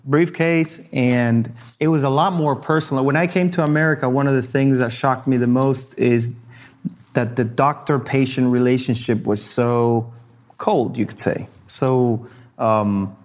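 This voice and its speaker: A man's voice: medium pitch, steady tone, a little slow. A man from Argentina hosting an interview, chatty and agreeable, with lots of restarts as he asks questions. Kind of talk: casual interview chat.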